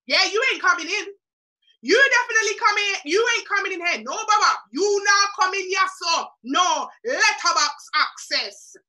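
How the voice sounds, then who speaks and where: A woman's voice: extremely high pitch, animated, normal speed, sharp tone, low-quality recording. A middle-aged Jamaican woman from Jamaica giving an emphatic, preachy motivational talk on a webcam video call, repeating lines for effect. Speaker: mentor.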